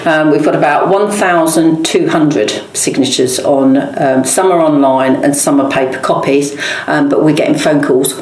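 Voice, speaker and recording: Low female voice, English accent, emphatic, plain-spoken, interview answers, echoey room. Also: normal speed, hardly any pauses, darkish tone. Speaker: local councillor.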